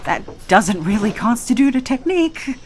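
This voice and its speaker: A woman's voice: on the high side, sing-song, moderate pace, soft background music. A woman playing a hesitant, sarcastic but loyal young lad in an audio drama. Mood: exasperated. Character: put-upon young male sidekick, sarcastic, loyal, resilient. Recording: clear background noise.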